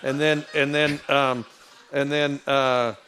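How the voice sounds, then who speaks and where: A man with a low voice, telling stories in a casual, rambling way, with many fillers and restarts. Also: flat delivery, takes it slowly, sharp tone, a little hiss or hum. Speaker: football coach. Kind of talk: casual storytelling.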